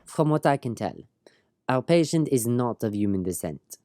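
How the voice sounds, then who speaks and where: A woman playing a calm, clinical spaceship doctor who speaks in a measured, word-searching way in an audio drama. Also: a bass voice, noisy background. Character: calm, clinical ship's doctor slipping into French.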